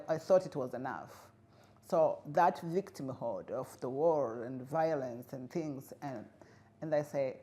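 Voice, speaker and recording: A middle-aged woman from Rwanda, whose first language is Kinyarwanda, speaking earnestly as an interview guest with frequent pauses and fillers. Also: low voice, mellow. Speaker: guest, refugee integration expert. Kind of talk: interview conversation.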